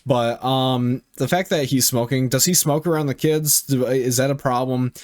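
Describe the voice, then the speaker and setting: A man's voice: medium pitch, moderate pace. A young man reading online stories aloud and reacting bluntly, with frequent swearing. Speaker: reaction video host.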